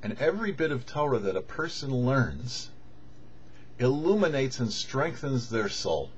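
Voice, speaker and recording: A male rabbi delivers a religious lesson in a mid-pitched voice with lively, swinging intonation. He phrases deliberately, leaning on key words and pausing between thoughts. The sound is slightly dull. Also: slight background noise. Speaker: rabbi, host of a weekly Torah show. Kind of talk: scripted religious teaching.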